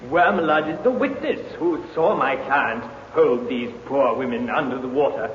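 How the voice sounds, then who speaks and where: An actor playing an indignant, pompous defense counsel making rhetorical arguments in a 1950s radio drama. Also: male voice, medium pitch, moderate pace, a little hiss or hum, lightly acted. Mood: indignant, oratorical. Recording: tinny echoey old radio recording.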